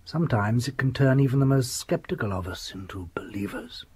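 An actor playing a grave uncle in a radio ghost story, husky and portentous. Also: male voice, medium pitch, expressive, fairly quick, darkish tone, dry recording. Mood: grave, ominous.

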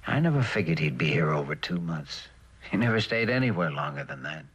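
Deep-voiced, weary, work-obsessed husband. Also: male voice, very animated, fairly quick, fairly bright tone, slightly rough, heavily acted. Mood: tired, casual. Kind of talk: mid-century American television drama acting. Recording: noisy old television drama soundtrack.

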